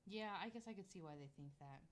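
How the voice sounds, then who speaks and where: A man's voice: high voice, lively intonation, quick pace, a bit bright. An American man co-hosting a podcast, chatting casually as he reacts and asks questions. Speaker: podcast co-host.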